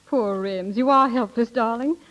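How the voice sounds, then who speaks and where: Young woman played by an actress, affectionate and halting, high voice, vintage radio drama style, muffled sound. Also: a bit bright, natural voice. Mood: earnest, exasperated. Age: young adult. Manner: hesitant, breaks off and repeats words. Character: earnest, affectionate young woman, sweetheart turned budget-minded wife. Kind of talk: 1930s radio acting. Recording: dull 1930s radio broadcast recording.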